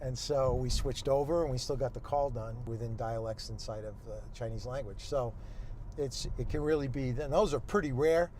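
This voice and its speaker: Low-voiced man in his sixties, in a car. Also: normal speed, darkish tone. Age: 60s. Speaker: guest, nonprofit executive director. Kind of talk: unscripted interview conversation. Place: inside a moving car. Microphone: clip-on lapel mic. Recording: road and vehicle noise.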